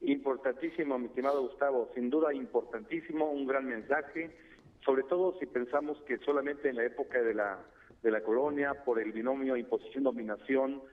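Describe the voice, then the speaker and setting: A man from Chiapas whose first language is Tseltal, speaking as a guest expert by phone in a measured, formal way. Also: mid-range voice. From Chiapas, Mexico. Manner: measured, formal, addresses host by name. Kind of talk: radio phone interview. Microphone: telephone. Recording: tinny phone line.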